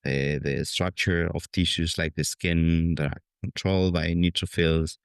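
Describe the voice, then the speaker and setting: A man's voice: deep voice, fairly even intonation, measured pace, vocal fry, clean tone, dry recording, clean studio sound. A man in his fifties from Spain with a Spanish accent, answering interview questions in a hesitant way with many fillers and restarts. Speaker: guest scientist. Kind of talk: conversational interview.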